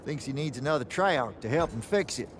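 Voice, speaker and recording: A man playing a wry, folksy older battlemage veteran in a video game, talking in a colloquial, gruffly avuncular way. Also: mid-range voice, animated, fairly quick, a little hiss or hum, natural voice. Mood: exasperated, urgent.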